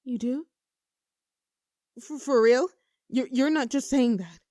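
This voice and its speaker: A woman's voice: sits high, takes it slowly, mellow, no room sound, clean studio sound. A woman acting a nervous, playful young woman confessing love to her best friend in an intimate, conversational audio roleplay, teasing and earnest. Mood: elated.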